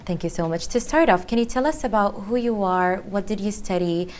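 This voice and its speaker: A woman's voice: mid-range voice, brisk, slight background noise. A woman hosting a studio TV interview, polite and encouraging, with frequent small acknowledging sounds. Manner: polite, encouraging with many back-channel sounds. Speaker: TV host.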